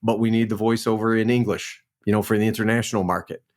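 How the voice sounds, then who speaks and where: A man in his forties from New Orleans, a guest actor telling his career story in a relaxed, chatty way on a remote call. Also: fairly low pitch, quick pace. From New Orleans, Louisiana. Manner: relaxed, storytelling, frequent "man" and "you know". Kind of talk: casual interview chat.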